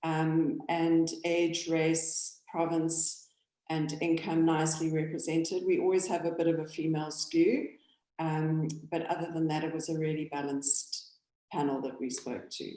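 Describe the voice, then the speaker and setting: A woman co-hosts a business webinar over a video call, talking casually with hesitant fillers. Her voice is lowish and mellow. A roomy echo hangs around it. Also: little pitch movement, unhurried. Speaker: research company founder and co-host.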